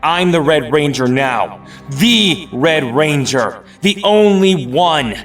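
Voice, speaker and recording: A man's voice: a little high, expressive, slow. A man playing a vengeful young superhero leader in an audio drama, bitter, defiant and intense. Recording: distant mic, faint music.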